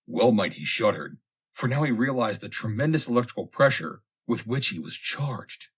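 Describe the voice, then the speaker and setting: Guy narrating an old funny story, raspy voice, lively up and down intonation, dramatic pauses. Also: mid-range voice. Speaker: audiobook reader. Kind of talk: scripted narration of a comic vintage story.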